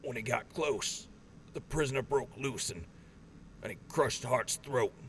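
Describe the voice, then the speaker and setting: An injured, shaken corporal, voiced by a man in an audio drama. He speaks in halting bursts with a gravelly voice, and faint noise sits behind him. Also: mid-range voice, fairly bright tone. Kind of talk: fan audio drama acting.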